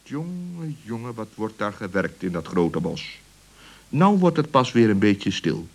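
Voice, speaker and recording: A man narrating a scripted children's radio story as an animated storyteller. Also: lowish voice, measured pace.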